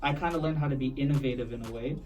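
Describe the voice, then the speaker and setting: A man's voice: mid-range voice, rapid-fire, a little mellow. Male panelist with a slight New York accent, mid-range voice, easygoing and reflective, recorded at a distance. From born overseas, raised in the US. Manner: easygoing, reflective, uses lots of fillers. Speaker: podcast panelist. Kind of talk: casual panel discussion.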